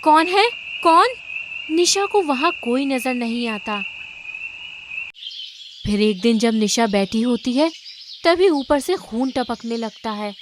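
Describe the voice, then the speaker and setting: High-voiced woman narrating a story. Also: animated, slow, long pauses, noisy background.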